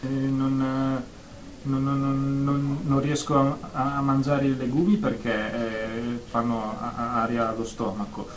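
A man's voice: medium pitch, level tone, measured pace, natural voice. Man acting anxious stammering therapy patient, echoey room. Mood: nervous, hesitant. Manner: hesitant stammering with repeated words. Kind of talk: radio drama acting. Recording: roomy echo, faint background noise.

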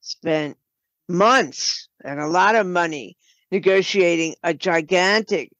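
An older woman answers in an interview at a very slow, halting pace. Her voice is on the low side for a woman. The recording is a bit dull and lo-fi. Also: a bit bright, dry recording. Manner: halting, deliberate. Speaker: guest, game writer and producer. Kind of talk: conversational interview. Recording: slightly dull, lo-fi sound.

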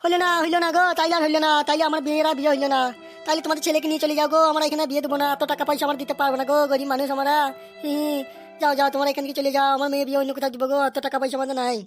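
A performer voicing an excitable, scheming village elder in a comedy cartoon, coarse and quick to scold and haggle. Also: sits high, faint music behind, lightly acted. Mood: indignant.

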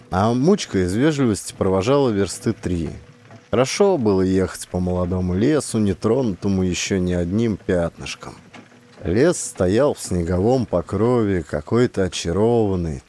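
A man's voice: lowish voice, warm tone. A man reading a classic literary story as scripted audiobook narration.

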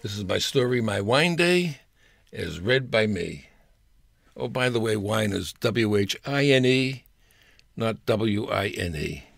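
A man's voice: fairly low pitch, lively intonation, takes it slowly, creaky, fairly bright tone, dry recording. An older man reading his own humorous personal story aloud in a wry, storytelling manner. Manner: wry storyteller with playful voices.

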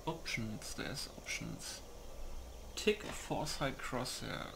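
A man's voice: medium pitch, expressive, slow, creaky, background noise. A man giving casual gameplay commentary, muttering as he reads menu options aloud. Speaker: gamer recording a playthrough. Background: music.

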